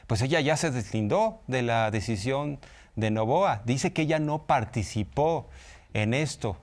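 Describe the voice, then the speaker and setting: A Mexican man presenting news commentary as a host, in a conversational style. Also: mid-range voice.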